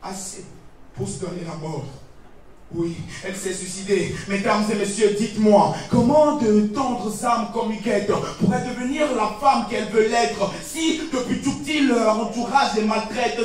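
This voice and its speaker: Echoey, high-voiced man performing slam poetry. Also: far from the mic. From Togo. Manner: dramatic, solemn, declamatory delivery with deliberate pauses. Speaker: slam poet competing on stage. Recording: echoey stage recording from afar, music behind.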